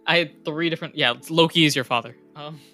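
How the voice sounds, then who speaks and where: A man's voice: a little high, quick pace, pushed and bright, soft background music. A young man narrating a tabletop game as game master, in a casual style with many fillers.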